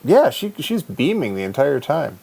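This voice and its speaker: Lively, laughing podcast host man. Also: on the high side, moderate pace, gravelly, over a noisy crowd. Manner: upbeat, laughs often, stumbles over words. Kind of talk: casual chat.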